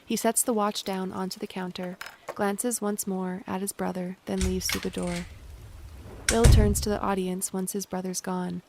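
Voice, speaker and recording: Creaky, even-toned female narrator. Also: medium pitch, normal speed, background noise. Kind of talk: scripted narration of stage directions.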